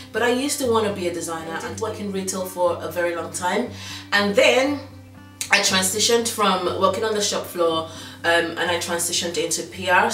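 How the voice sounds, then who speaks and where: A woman in her thirties with a slight Nigerian Yoruba accent chats away to her viewers, rambling with plenty of ums and restarts. Her voice sits at a middle pitch and sounds roomy, picked up from a distance, with faint music underneath. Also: fairly bright tone. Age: 30s. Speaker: fashion blogger. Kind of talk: casual chat to camera.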